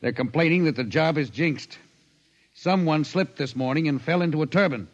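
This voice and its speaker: A man's voice: on the high side, moderate pace, natural voice. An actor playing a curt, impatient male boss in a 1950s radio drama. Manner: curt and brusque. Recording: dull old radio broadcast.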